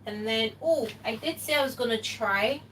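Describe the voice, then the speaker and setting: A woman's voice: a little high, normal speed, a bit bright. A woman from Ghana who thinks aloud in casual chat while she cooks in a home kitchen, recorded by a camera some way off. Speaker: cooking vlogger. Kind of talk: casual cooking commentary. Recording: distant mic with kitchen noise.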